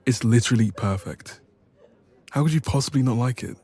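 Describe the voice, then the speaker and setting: A man's voice: mid-range voice, fairly quick, breathy edge. A man playing a playful, teasing young best friend in a romantic roleplay audio, chatting casually and intimately. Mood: playful disbelief. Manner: playful, teasing, expressive, soft laughs.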